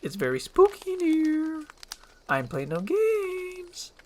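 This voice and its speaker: A woman voicing an excitable, chatty male donkey sidekick who is blunt and easily spooked, from an animated film script. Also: deep voice, moderate pace, a little hiss or hum, exaggerated voice. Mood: uneasy. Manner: blunt, talkative, exclamatory.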